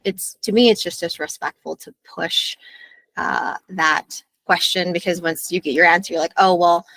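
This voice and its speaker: Earnest young woman, lowish voice. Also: steady tone, normal speed, sharp tone, no echo, poor audio. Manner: earnest, thoughtful, with frequent fillers. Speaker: interview guest. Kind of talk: reflective interview conversation.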